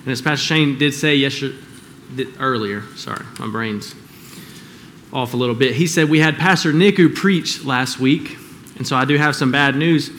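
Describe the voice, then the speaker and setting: A man preaches a sermon in a relaxed, conversational way, often checking with the congregation with quick questions. His voice sits in a middle pitch, with faint noise in the background. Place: church.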